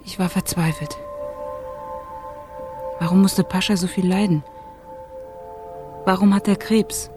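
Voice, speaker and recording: A woman's voice: on the low side, fairly even intonation, slow, pauses often, music in the background. A woman playing a troubled, caring female narrator in a radio drama. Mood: despairing. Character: troubled, caring woman telling a sick boy's story.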